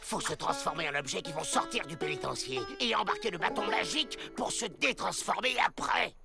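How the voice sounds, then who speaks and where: A man's voice: high-pitched, a little fast, vocal fry. A man voicing a short-tempered, scheming outlaw boss with a bossy, exasperated delivery in a French cartoon. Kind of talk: French animated cartoon acting. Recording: loud cartoon music behind the voice.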